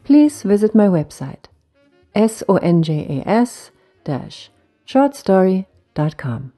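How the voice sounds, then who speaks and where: Mellow woman reading a literary short story. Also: medium pitch, very animated, takes it slowly, faint music behind.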